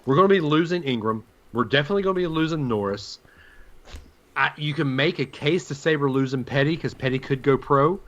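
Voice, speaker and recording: A man's voice: a little high, fairly quick, a bit bright, dull audio. A man co-hosting a sports talk show, giving his opinions and putting questions in a conversational way.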